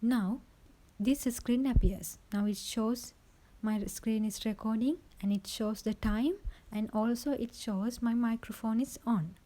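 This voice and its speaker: High, mellow-voiced woman giving a tutorial. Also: a little slow, poor audio. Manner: explanatory, step by step, often checks in with "right?". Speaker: tutorial presenter. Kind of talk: informal instructional voice-over for a phone screen recording. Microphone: built-in phone microphone.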